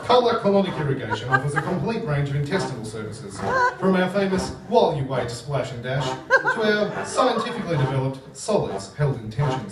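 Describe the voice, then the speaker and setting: A man narrating in a melodramatic serial and spoof advert style. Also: on the high side. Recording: echoey theatre, distant mic, loud music.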